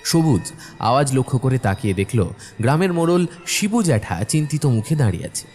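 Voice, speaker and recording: A man's voice: mid-range voice, very animated, brisk, darkish tone, slight background noise. A man narrating a scripted horror story as its storyteller.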